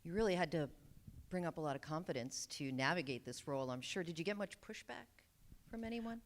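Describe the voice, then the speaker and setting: Woman, interviewer, live stage talk, upbeat, laughs often, low pitch. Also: animated, brisk, a little hiss or hum.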